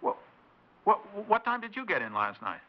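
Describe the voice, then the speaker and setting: An actor playing an ordinary, bluff and impatient husband in a 1960s radio drama. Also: male voice, sits high, huge pitch swings, normal speed. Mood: baffled. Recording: dull 1960s radio recording.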